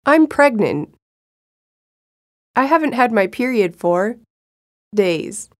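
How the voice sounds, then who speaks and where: A woman with a slightly high, lively pitch reads practice phrases at a deliberately slow pace. Her delivery is careful and even, like a language lesson track, and the sound is dry and close. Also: no room sound. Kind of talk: slow, clear phrase reading for learners.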